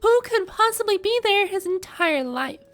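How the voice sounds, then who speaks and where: Female streamer, extremely high voice, casual expressive reactions, game music behind. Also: moderate pace.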